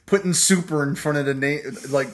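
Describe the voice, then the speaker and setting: A man hosting a podcast in casual banter, loud and boisterous, teasing his guest and swearing freely. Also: fairly high pitch, brisk. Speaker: podcast host.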